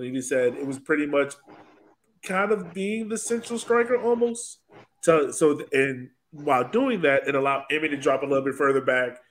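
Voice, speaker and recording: A man's voice: medium pitch, fairly bright tone, slight background noise. A man hosting a sports podcast, talking through a soccer match in a casual, conversational way.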